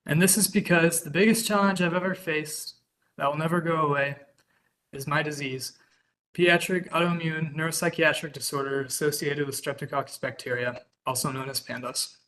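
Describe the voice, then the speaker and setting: A man's voice: fairly high pitch, steady tone, moderate pace, fairly bright tone, slight background noise, rough recording. A man in his twenties from Kansas, earnestly reading a prepared statement as a witness over a video call. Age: 20s. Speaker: witness giving testimony. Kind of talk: prepared public testimony.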